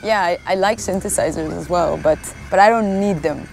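An Israeli-accented woman speaks in a somewhat low voice. She talks casually and haltingly, like an artist in an interview, with light music behind her. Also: gravelly, rough recording. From northern Israel. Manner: informal, halting, swears freely. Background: faint music.

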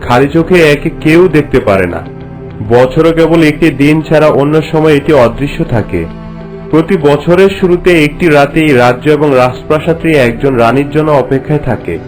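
A reverent royal courtier from a cartoon fairy tale, played by a man, speaks in a slightly high male voice. He explains with formal, respectful storytelling delivery. Music plays softly underneath. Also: animated, moderate pace, lightly acted. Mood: respectful, solemn. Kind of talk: scripted cartoon dialogue in formal narration.

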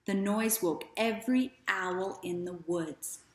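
A woman in her thirties reading a children's story aloud in a deliberate, expressive way. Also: medium pitch. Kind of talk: children's read-aloud narration. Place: small living room, indoors. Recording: echoey living room.

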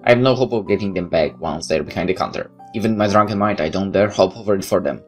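Male let's play narrator, low pitch, expressive story reading with casual asides, game music behind. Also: fast. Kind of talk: reading a visual novel aloud with asides.

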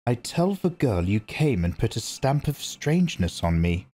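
Guarded serious teen boy, read by a man, storybook reading, plain and matter-of-fact, lively pitch. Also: normal speed. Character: wary, serious teenage boy.

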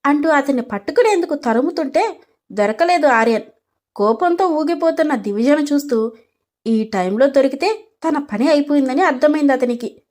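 A woman's voice: sits high, lively intonation, normal speed, darkish tone. A woman reading scripted story narration as the storyteller, linking the characters' dialogue.

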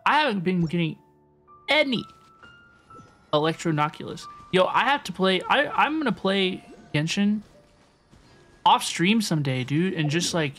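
A woman's voice: lowish voice, lively intonation, measured pace, long pauses, fairly bright tone. Chatty young woman streamer, music behind. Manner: chatty, repeats phrases. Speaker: game streamer. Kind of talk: casual live-stream chat and gameplay commentary.

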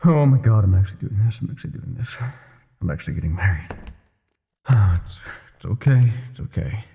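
A man playing an older ex-mafia boyfriend, wry, tender and self-doubting, with a husky, breathy voice in an intimate roleplay audio. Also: on the low side, expressive, a little fast, narrow, tinny audio, heavily acted. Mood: overwhelmed, anxious.

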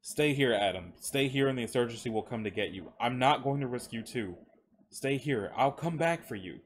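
A man's voice: mid-range voice, lively intonation, a little hiss or hum, close to an everyday voice. Man voicing a grave, weary male protector. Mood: grave resolve. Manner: carefully measured but uneasy. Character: weary, grieving man, older protector of a youth.

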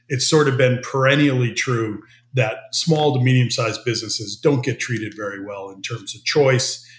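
A middle-aged American man, a company CEO, explaining his business in a measured way as a podcast guest. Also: mid-range voice, fairly bright tone. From United States. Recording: roomy remote audio.

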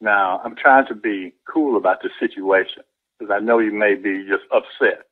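A man's voice: lowish voice, steady tone, moderate pace, narrow, tinny audio. A man, a church deacon, arguing on the phone in a defensive, firm, repetitive way. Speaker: church deacon, prank call victim. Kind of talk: indignant phone argument.

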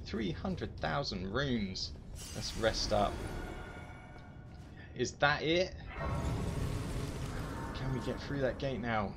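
Male gaming host, lowish voice, casual and excitable play-by-play over loud music. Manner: excitable, talking to himself through the play. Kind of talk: casual reactive gameplay commentary.

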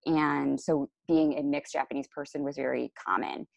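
Young woman, low gravelly voice, presenting on a video call, relaxed with ums and restarts, dry close sound. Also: moderate pace, no room sound. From Chicago area, USA. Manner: relaxed, many ums and self-corrections. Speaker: panelist. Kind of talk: casual presentation over slides. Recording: dull lo-fi video call audio.

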